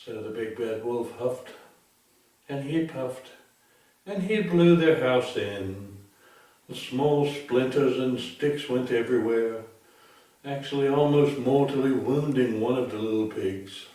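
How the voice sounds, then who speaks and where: A man telling a darkly comic bedtime story in a deliberate, theatrical, mock-sympathetic way. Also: mid-range voice, mellow. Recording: very echoey room, distant microphone.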